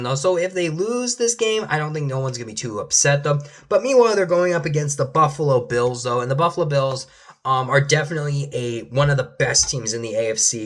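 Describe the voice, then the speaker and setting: A man's voice: mid-range voice, fairly bright tone. Young male sports fan, casual predictions, rambling delivery with restarts, voice fading in and out. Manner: rambling, repeats "though" at line ends, many restarts. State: losing his voice at times. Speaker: sports commentator.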